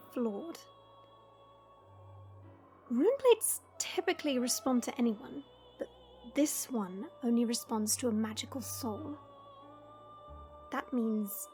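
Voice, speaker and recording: A woman playing a stern, proud but caring fantasy warrior, speaking in a measured, formal and dry way. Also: on the high side, a little mellow. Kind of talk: fantasy audio roleplay acting.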